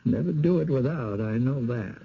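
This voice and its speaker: Gravelly, folksy rural American villager. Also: male voice, medium pitch, lively intonation, normal speed. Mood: hesitant, wary. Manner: hesitant, drawling, folksy speech. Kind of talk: radio drama acting. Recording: dull, muffled old radio recording.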